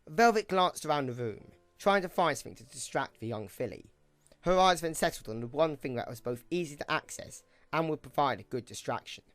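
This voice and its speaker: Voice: medium pitch, lively intonation, brisk, fairly bright tone, dry recording, faint music behind. A narrator reading scripted third-person story narration for an amateur audio drama.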